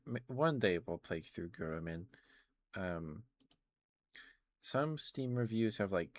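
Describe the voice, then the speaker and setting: A low-voiced man rambles casually on an ASMR livestream. He talks very slowly and haltingly, musing his way through each thought like a streamer chatting to viewers. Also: no echo. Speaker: live streamer, video game streamer.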